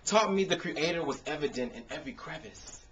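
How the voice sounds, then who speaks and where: A man's voice: fairly high pitch, expressive, a little fast, a bit bright. An American man giving a spoken word poetry reading with casual introductions, phrasing his words expressively and with emphasis. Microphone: camcorder microphone. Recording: dull, noisy consumer camcorder sound.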